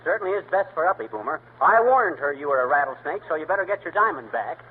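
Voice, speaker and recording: A boastful, bumbling husband in a 1940s radio comedy, with a fairly high, bouncy voice. He talks brash and folksy, full of wisecracks. The sound is thin and tinny, like an old radio broadcast. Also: big pitch swings, fast talker, very noisy.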